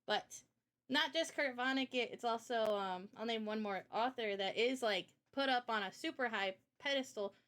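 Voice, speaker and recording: A woman streamer hosting a casual live chat, talking in an animated, opinionated way with rambling asides. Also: a little high, moderate pace. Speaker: streamer and host.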